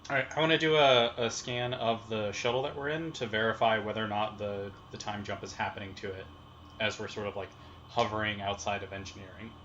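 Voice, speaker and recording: A man's voice: fairly low pitch, fairly even intonation, fairly quick, fairly bright tone. A man talking casually as a player in a tabletop role-playing game. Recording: dull lo-fi sound, slight noise.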